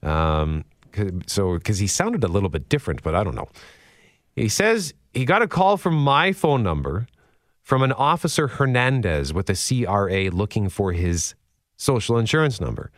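A man with a deep voice hosting a casual radio show, telling a story in a lively, exasperated way. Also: moderate pace, no echo, studio quality. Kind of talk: casual morning radio chat. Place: radio studio.

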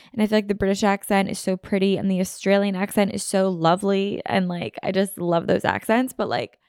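A woman's voice: medium pitch, darkish tone, smooth, no echo, studio quality. Chatty young American woman, sniffly. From Philadelphia suburbs, USA. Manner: rambling, heavy use of "like", self-interrupting. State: sniffly, slightly runny nose. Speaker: podcast host. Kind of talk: casual chatty podcast monologue. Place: apartment room. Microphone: podcast mic on video.

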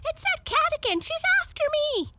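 A woman voicing an excitable male dog that barks and howls in a shrill, loud voice. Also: normal speed, very noisy. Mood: frantic. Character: frantic yapping male dog, easily scared.

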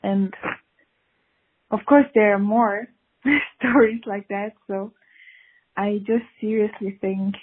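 A woman's voice: medium pitch, steady tone, slow, long pauses, breathy edge. Swiss woman on a tinny line. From Switzerland. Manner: candid, laughs through embarrassment. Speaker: caller sharing a dating story. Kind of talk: casual recorded confession. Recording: tinny phone-line recording.

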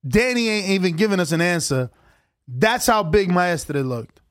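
Excitable male podcast co-host, high-pitched, dry close mic, loose and profane. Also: normal speed, no room sound. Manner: excitable, swears freely, laughs often. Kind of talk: casual boxing chat.

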